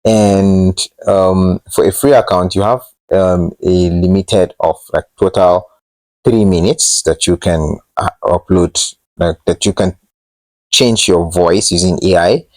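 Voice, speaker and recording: Low-voiced man presenting a tech tutorial. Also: fairly even intonation, takes it slowly, darkish tone, no room sound. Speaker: host of a tech tutorial channel. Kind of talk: casual screen-share tutorial narration.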